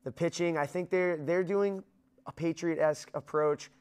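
A man's voice: on the high side, fairly even intonation, moderate pace, a little mellow, clean tone. A man in his thirties giving blunt, emphatic opinions as a guest on a studio sports show. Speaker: guest commentator. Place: small studio with dark backdrop.

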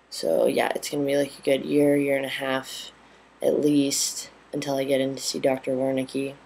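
A young adult man giving a casual webcam update from a small room at home, halting often with fillers. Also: medium pitch, gravelly, a little mellow, rough recording. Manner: halting, with frequent pauses and fillers. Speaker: vlogger. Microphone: built-in webcam mic at close range.

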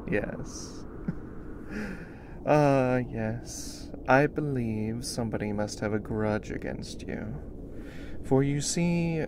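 A man acts out a theatrical male vampire in an ASMR roleplay, speaking slowly over very noisy background ambience. He is aloof and teasing, stretching his phrases and often sinking to a breathy near-whisper. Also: medium pitch, expressive. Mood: mocking amusement. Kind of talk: ASMR roleplay, slow scripted monologue.

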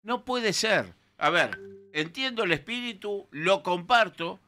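A man's voice: high-pitched, animated, takes it slowly, bright, forceful tone, soft background music. A man in his sixties with a Rioplatense Argentine accent, an emphatic and indignant radio panelist talking into a studio microphone. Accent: Rioplatense Spanish. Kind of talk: opinionated radio talk. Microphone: studio mic with headphones.

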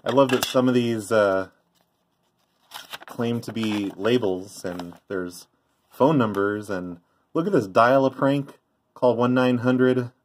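A man's voice: medium pitch, takes it slowly, a little hiss or hum. A middle-aged man giving an offhand, rambling show-and-tell commentary in a casual way. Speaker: vlogger, former prank call tape trader. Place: indoors by a tape deck.

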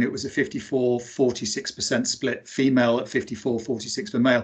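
A man hosts an online event, briskly commenting on points and handing over to the next speaker. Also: medium pitch, breathy edge. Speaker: host.

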